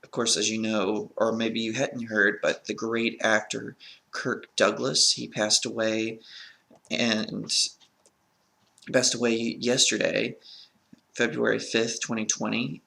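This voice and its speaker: A man's voice: fairly low pitch, gravelly, bright, forceful tone. A man with a crackly voice from a sore throat, talking as an earnest film review host in a casual vlog style.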